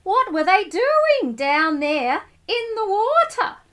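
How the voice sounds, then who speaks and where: A woman reading a picture book aloud to children, expressive and speaking to them directly. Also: very high-pitched, slow. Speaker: school storytime reader.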